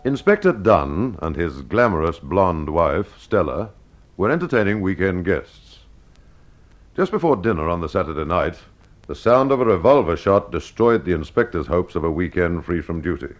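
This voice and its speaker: Deep, animated male radio mystery narrator. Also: normal speed. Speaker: narrator and host of mystery puzzle. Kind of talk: scripted old-time radio mystery narration. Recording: dull lo-fi old radio sound.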